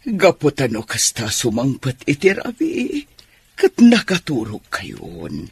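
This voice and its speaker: Here is an elderly grandmother from a radio drama, concerned and chiding. The voice is very hoarse and rasping, and it swings widely in pitch as it admonishes at a slow pace. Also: wildly swooping pitch, heavily acted. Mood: gently firm.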